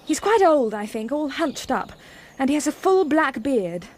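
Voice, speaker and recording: A woman's voice: sits high, very animated, normal speed, a little mellow, a little hiss or hum. An actress playing a spirited, determined young woman who teaches music, in a radio drama. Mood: indignant.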